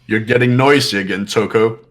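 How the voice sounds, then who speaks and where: A man's voice: on the low side, fairly quick, faint music behind, natural voice. A man voicing a haughty, cold young man in a fan-voiced game, with a disdainful, pompous delivery.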